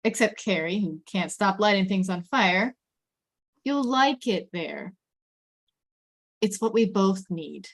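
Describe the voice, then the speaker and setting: Woman playing a firm, measured father. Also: mid-range voice, expressive. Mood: firm, reasoning. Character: firm, reasoning widowed father. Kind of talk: table read of a screenplay.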